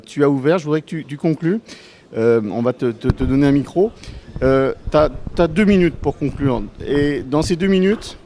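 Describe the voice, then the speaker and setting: A man with a dark, relaxed timbre and middling pitch moderates a live debate. He addresses the guests informally and conversationally. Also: slight background noise.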